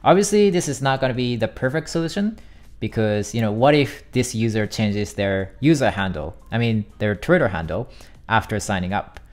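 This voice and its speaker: A man's voice: fairly low pitch, lively intonation, moderate pace, faint music behind. A young man from Japan talking casually as he vlogs, thinking aloud with many fillers. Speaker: YouTube developer vlogger. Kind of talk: casual vlog narration. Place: home desk.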